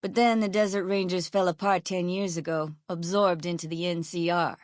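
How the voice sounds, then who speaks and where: A woman's voice: lowish voice, level tone, moderate pace, no room sound, clean studio sound, close to an everyday voice. A woman playing a foul-mouthed, sardonic ex-sheriff turned caravan guard in a video game, blunt and folksy in her speech. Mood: weary, matter-of-fact. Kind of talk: video game character voice lines.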